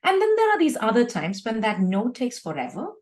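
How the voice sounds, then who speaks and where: A woman's voice: on the high side, huge pitch swings, a little fast, a little mellow. A woman speaking as an interview guest, reflecting on personal experience in an earnest, emphatic conversational way, often repeating words for emphasis. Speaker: guest, organisational leader.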